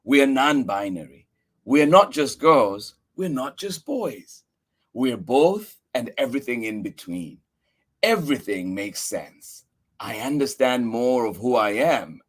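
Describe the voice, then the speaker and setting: A man reading a picture book aloud for children, expressive and earnest, with emphatic delivery. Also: medium pitch, measured pace.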